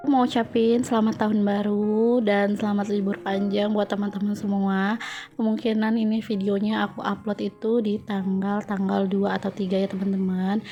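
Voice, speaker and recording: A woman's voice: medium pitch, flat delivery, normal speed, mellow, highs cut off. A young woman vlogger with a stuffy nose from a cold, chatting casually to her viewers over a home budgeting voice-over, with many fillers. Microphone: phone.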